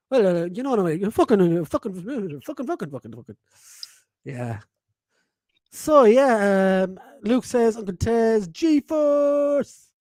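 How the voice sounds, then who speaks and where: A man's voice: high-pitched, sing-song, unhurried, pauses often, a little mellow, no room sound. A man in his forties hosting a casual live-stream podcast, reading chat messages aloud, laughing often and swearing freely. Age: 40s.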